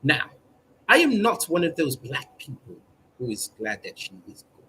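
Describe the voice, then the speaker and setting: A man's voice: fairly high pitch, wildly swooping pitch, moderate pace, fairly bright tone, slight background noise, poor audio. A man hosting a comedic mock newscast in a stilted, formal newsreader manner, drawing out his words. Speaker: mock news presenter on a livestream.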